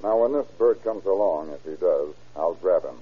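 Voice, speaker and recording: A man's voice: low-pitched, animated, moderate pace, husky. An actor playing a confident, tough-talking lawman in a 1930s radio crime drama. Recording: tinny 1930s radio recording.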